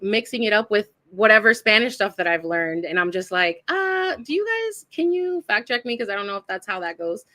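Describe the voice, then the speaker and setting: A woman co-hosting a casual podcast chat, talking in an animated, chatty way and thinking aloud as she goes. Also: medium pitch. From Puerto Rican heritage, raised in the US. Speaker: podcast co-host.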